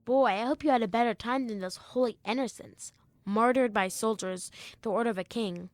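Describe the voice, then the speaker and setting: A woman's voice: fairly high pitch, fairly quick, no echo, natural voice. A woman playing a curious, frank young girl in a children's audio drama, chatty and candid. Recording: music under the voice.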